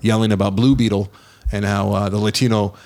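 Fast-talking self-deprecating podcast guy. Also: lowish voice, level tone, a little mellow. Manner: rambling, self-deprecating, quick talker. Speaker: podcast co-host, film reviewer. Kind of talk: casual film podcast banter.

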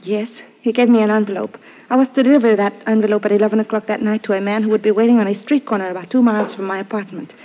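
A woman's voice: mid-range voice, fairly even intonation, very fast, lightly acted. An actress playing a frightened, earnest foreign woman in a 1950s radio drama, speaking precisely and formally, on a muffled vintage recording. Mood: earnest, uneasy.

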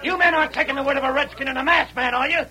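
An actor playing a cocky, belligerent outlaw with a gravelly voice and American Western accent in an old radio drama. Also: male voice, extremely high pitch, fairly even intonation, very fast. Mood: sneering, defensive. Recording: noisy, crackly vintage radio recording.

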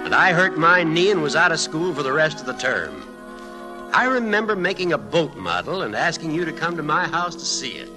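An American man fondly looking back, in a classic radio drama. His voice is slightly high and lively, with music clearly playing behind it on the old recording. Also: brisk, rough recording. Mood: fond nostalgia. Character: fond grown former pupil reminiscing.

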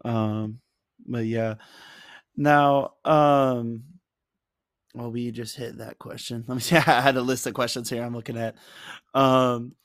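A chatty male interview host of medium pitch who laughs often and fills his questions with "um" and "like". The sound is dry and close to the mic. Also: steady tone, takes it slowly, pauses often, no room sound. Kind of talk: casual interview chat.

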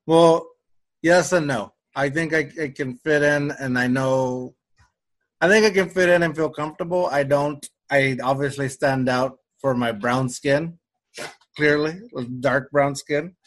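A man's voice: fairly high pitch, fairly even intonation, unhurried. A young man with a slight Mexican Spanish accent, a native Spanish speaker, chatting casually as a podcast co-host, rambling with lots of restarts and fillers. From Mexico-US border region. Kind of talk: casual conversation.

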